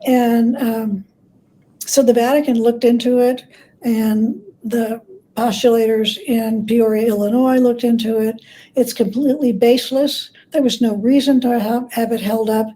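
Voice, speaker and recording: A woman interviewed as an expert guest, explaining things conversationally in a measured way with pauses. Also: fairly high pitch, steady tone, warm tone, poor audio. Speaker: interview guest, author and scholar.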